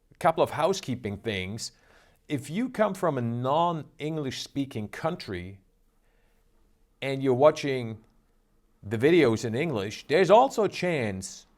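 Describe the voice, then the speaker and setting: Upbeat man hosting a trading show. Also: medium pitch. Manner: upbeat, salesy, emphatic. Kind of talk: energetic market commentary.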